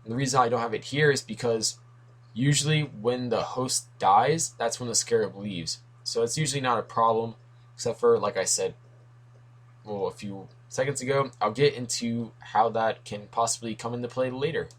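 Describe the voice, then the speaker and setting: A young man talks casually about comics, wandering into asides and correcting himself as he goes. His voice is a little worn, like he has been losing it. His pitch sits at an everyday middle level. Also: normal speed, a bit bright. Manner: rambling, self-correcting, lots of asides. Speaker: comics video host. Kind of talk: casual rambling commentary.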